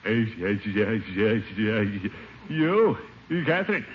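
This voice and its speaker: An actor playing a blustering, pompous middle-aged suitor, easily flustered, in a 1950s radio comedy. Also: male voice, on the low side, very animated, takes it slowly. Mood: flustered, drowsy. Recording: muffled, noisy old radio broadcast.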